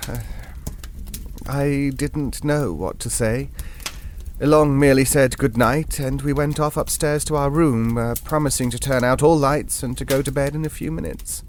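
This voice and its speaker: An actor with a British accent playing a hesitant, reassuring gentleman who tells an eerie tale in an audio drama, halting and conversational. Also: mid-range voice, slight background noise, natural voice. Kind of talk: audio drama ghost story narration.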